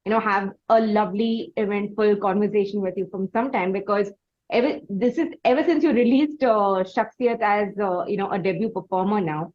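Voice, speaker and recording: A woman's voice: mid-range voice, normal speed, a little mellow, dull audio. A woman with an Indian accent hosting an interview, speaking in a wordy, effusive conversational style. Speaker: interviewer, host.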